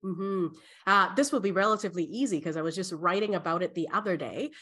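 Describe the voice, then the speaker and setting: Lower-voiced middle-aged woman on video call. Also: fast, a bit bright, husky. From born in Hong Kong, in Canada from age ten. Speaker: interview guest, career educator. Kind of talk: conversational interview answers. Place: small bright room at home, video call.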